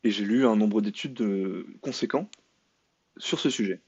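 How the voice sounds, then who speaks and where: A man's voice: fairly low pitch, a little slow, darkish tone, breathy edge, no room sound. A French-born man talking through a sarcastic commentary monologue, full of fillers and restarts. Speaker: video commentator. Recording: dry, slightly dull lo-fi sound.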